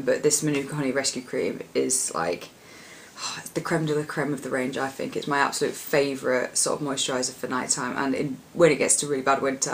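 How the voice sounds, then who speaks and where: A young woman with a husky voice, chatting casually about her favourite products in a rambling, filler-heavy way. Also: extremely low pitch, fairly bright tone, slight background noise. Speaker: beauty vlogger. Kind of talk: casual product chat to camera.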